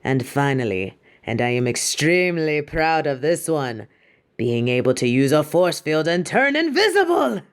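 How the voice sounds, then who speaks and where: A woman's voice: low voice, very animated, moderate pace. A woman playing a proud, exacting yet fond superhero costume designer in a scripted roleplay, speaking in a grandiose, dramatic way. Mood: proud, boastful. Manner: grandiose, dramatic, addresses listener as darling. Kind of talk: scripted roleplay audio monologue.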